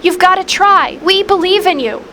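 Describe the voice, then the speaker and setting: A woman voicing an encouraging, hopeful teenage girl in an animated fandub. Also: very high voice, moderate pace, slight background noise.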